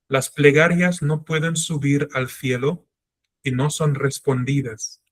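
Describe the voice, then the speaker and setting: A middle-aged man teaching a Torah class in an earnest, self-reflective way, drawing on his own life. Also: on the high side. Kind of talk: religious class lecture.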